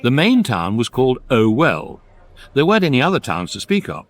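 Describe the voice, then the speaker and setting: An actor playing a wry, deadpan British narrator of a space guide, delivering measured scripted comic narration for radio. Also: male voice, mid-range voice.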